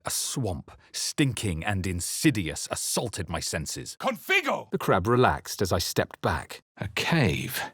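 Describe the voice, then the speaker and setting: A man voicing a wary, brooding adventurer hero in a fantasy video game, narrating in the first person with dramatic, measured intensity. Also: on the low side, wildly swooping pitch, a bit bright, breathy edge, no echo, studio quality, heavily acted. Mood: grave, brooding, then forceful incantation. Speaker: video game player character voice.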